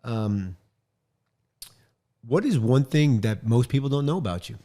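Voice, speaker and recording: A middle-aged man hosting an interview podcast from a home studio, upbeat and joking, with quick interjections into a studio mic. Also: medium pitch, animated, dark tone. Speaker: podcast host. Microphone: studio mic on boom arm, headphones.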